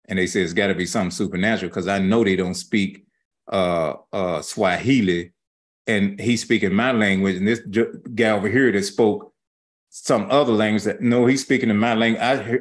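A man giving an informal scripture teaching as a religious teacher, speaking in halting, folksy phrases with colloquial grammar. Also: lowish voice.

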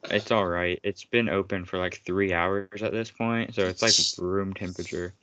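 A man with a low voice rambles through a casual podcast chat and keeps teasing his co-host. He laughs often. The sound is dry and a little muffled. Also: steady tone, normal speed, a bit bright, dry recording. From United States.

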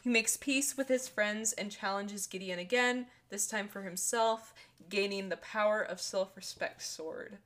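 A woman's voice: mid-range voice, a little slow, fairly bright tone, slight background noise. A young woman co-hosting a podcast, chatty and giggly, saying "like" often. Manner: giggly, chatty, says "like" a lot. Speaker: podcast co-host. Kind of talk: casual chat.

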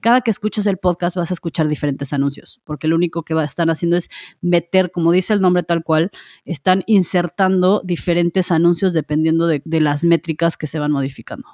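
A woman's voice: on the low side, steady tone, a little fast, dark tone, dry recording. Woman chatting through her take on a podcast, really dry close sound. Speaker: podcast co-host. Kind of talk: conversational commentary.

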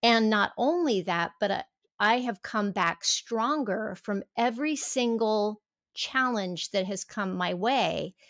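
Woman in her 50s, guest on a podcast, earnest, thinks out loud, dry close sound. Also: mid-range voice, animated, slow, no echo. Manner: earnest, reflective, restarts often. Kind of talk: casual interview chat.

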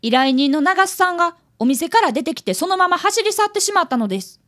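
A high, animated schoolboy played by a woman in a radio drama. He is an earnest apprentice detective, polite and careful to explain things, with very lively intonation. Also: brisk. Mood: earnest, urgent. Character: earnest fifth-grade boy, apprentice detective and narrator. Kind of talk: radio drama narration and dialogue.

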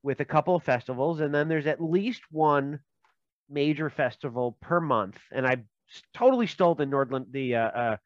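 A man's voice: on the high side, normal speed, no room sound, highs cut off. A man from Minnesota, a game designer, talking casually in an interview with many fillers and restarts and joking asides.

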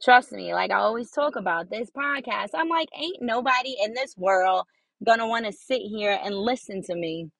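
Young woman w a high voice doing a solo pep-talk podcast, dry close mic, keeps repeating stuff to drive it home. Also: expressive, normal speed, vocal fry, a bit bright, no room sound. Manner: emphatic, repeats phrases for encouragement. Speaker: podcast host. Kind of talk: casual motivational monologue.

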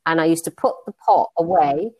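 A middle-aged woman from Leeds with a Northern English accent, a guest telling stories in a relaxed interview with many fillers and restarts. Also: sits low, a little fast, slightly rough, no room sound. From Leeds, England. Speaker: guest, money advisor. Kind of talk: conversational interview.

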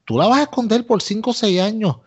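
Podcast co-host: Puerto Rican man, slightly high-pitched, opinionated and emphatic, casual Spanglish, muffled low-fidelity sound. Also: expressive, quick pace, darkish tone, breathy edge. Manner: emphatic, repeats himself, mixes English into Spanish. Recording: dull lo-fi audio.

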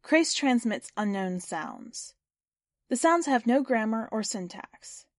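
A woman's voice: fairly high pitch, very animated, slow, no echo, studio quality. A woman reading scripted fiction and hosting a story podcast. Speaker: podcast host and story reader.

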